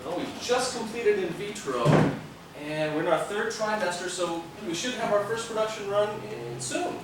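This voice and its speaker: A man's voice: high-pitched, normal speed. A man playing a proud, eager scientist in a stage play, explaining things keenly. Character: proud, eager male scientist doctor showing off creations. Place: theatre stage, picked up from a distance. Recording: echoey, noisy stage videotape from far off.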